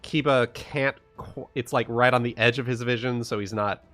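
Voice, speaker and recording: A man's voice: medium pitch, fairly even intonation, moderate pace, sharp tone, faint music behind. A man playing a tabletop role-playing game in a laid-back way, explaining vision ranges and rules. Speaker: player. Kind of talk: casual play over voice chat.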